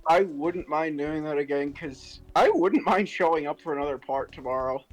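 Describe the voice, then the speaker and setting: A man with a fairly high voice chats informally in a group. Soft music is faintly audible behind him. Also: moderate pace, poor audio. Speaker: co-reader on a streamed story show. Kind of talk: casual chat.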